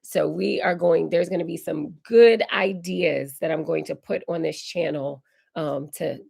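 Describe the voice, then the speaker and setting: A woman in her forties, hoarse and raspy while recovering from illness, hosting a casual live Q&A and answering viewer comments. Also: deep voice, measured pace. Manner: chatty, reads viewer comments aloud and answers warmly. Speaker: decor channel host. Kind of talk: live stream Q&A chat.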